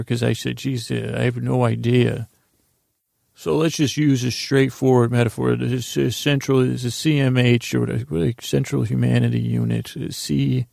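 A man hosting a sleep podcast, rambling through a halting bedtime monologue full of restarts and drawn-out pauses. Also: medium pitch, creaky, a little mellow, no echo, studio quality.

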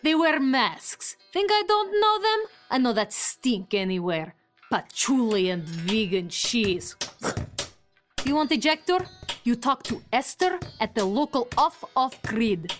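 A burly Eastern European saleswoman in a sci-fi comedy audio drama. Her voice is high and very animated, pushing shady deals in broken English, with music behind her. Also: measured pace. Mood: flustered, scheming. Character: warm burly Eastern European woman, wily shady parts dealer.

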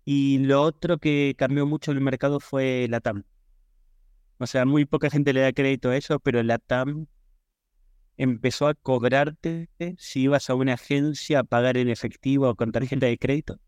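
A man with an Argentine Spanish accent answers as a podcast guest in a conversational, measured, explanatory way. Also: mid-range voice, fairly even intonation, darkish tone, smooth, dry recording. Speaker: podcast guest, e-commerce professional.

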